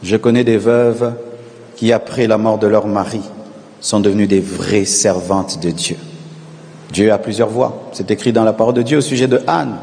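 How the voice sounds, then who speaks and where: A male pastor preaching a sermon, calling on the congregation to repeat after him and swelling into shouted refrains. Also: lowish voice, normal speed, gravelly, in a large hall. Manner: preaching with call-and-response, rising to shouted repetitions.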